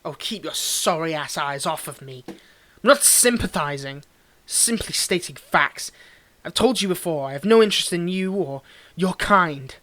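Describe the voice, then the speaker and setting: A man acts out a domineering villain in an intimate audio drama, his voice pitched a little above the usual male range. He is cold and condescending with a secretly fond streak, delivering his monologue theatrically, as if right up against one listener. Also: expressive, normal speed, hardly any pauses. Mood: defensive, haughty. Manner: condescending, theatrical, swinging between ranting and hushed murmurs. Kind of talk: scripted audio roleplay monologue, intimate address.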